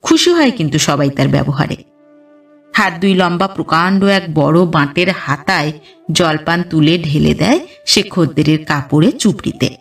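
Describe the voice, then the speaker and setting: A woman reads a literary story as a scripted audiobook narration, in a measured storytelling delivery. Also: deep voice, expressive, distant mic. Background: background music.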